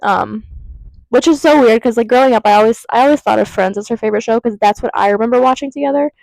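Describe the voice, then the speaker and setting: A woman's voice: fairly high pitch, fast talker, creaky, darkish tone, dry recording, low-quality recording. A young woman in her twenties with a cold and a stuffed-up nose, chatting casually to her viewers in a rambling way full of fillers and tangents. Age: 20s. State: congested with a cold. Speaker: vlogger. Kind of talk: casual chat to camera. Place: vape shop counter.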